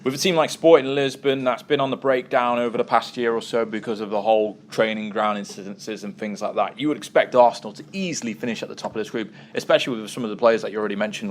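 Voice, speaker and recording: A man's voice: on the low side, a little hiss or hum. Chatty man hosting a sports preview. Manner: chatty, hesitant with many ums and uhs. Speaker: host. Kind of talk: casual sports chat.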